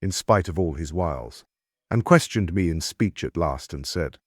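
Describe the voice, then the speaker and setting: A man's voice: low-pitched, lively intonation, moderate pace, dry recording, clean studio sound. A man playing a resolute Greek warrior king who recounts his hardships in dramatic, declamatory audiobook style. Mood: dramatic, storytelling. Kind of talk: dramatized epic audiobook narration.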